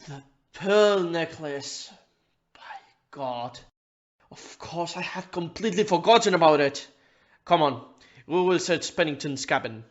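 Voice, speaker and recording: Audio-play captain, male actor: blustery, puzzled, brisk, animated pitch, muffled recording. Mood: startled. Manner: exclamatory, brisk, baffled.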